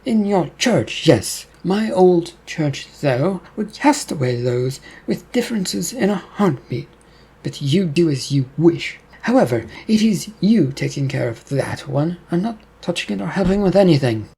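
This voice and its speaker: A man's voice: on the high side, lively intonation, unhurried, creaky, a little mellow, husky, voice pushed up, exaggerated voice, low-quality recording. A man voicing a prim, judgmental religious woman who is distrustful and disdainful in an audiobook story. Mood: scornful. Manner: disdainful, superstitious, curt.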